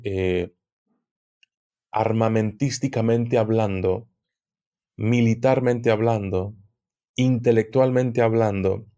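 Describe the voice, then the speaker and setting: Slow, hesitant man explaining. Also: fairly low pitch, mellow. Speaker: guest occult expert. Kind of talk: podcast conversation.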